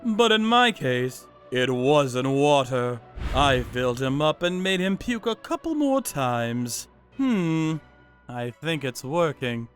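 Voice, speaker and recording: Manga dub vigilante played by a man: vengeful, contemptuous, slow deliberate delivery with wide swings, music underneath. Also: mid-range voice, big pitch swings, a bit bright. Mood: cold, contemptuous. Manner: menacing, mocking, contemptuous. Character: cold vengeful vigilante torturer, narrating his own revenge jobs. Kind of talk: first-person manga dub narration with acted dialogue.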